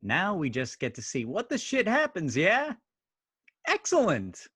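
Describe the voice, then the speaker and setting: A man playing a sly, doting schemer with a raspy voice, crooning and gloating in a staged play reading. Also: sits high, huge pitch swings, slow pace, bright, forceful tone, dry recording, studio quality, exaggerated voice.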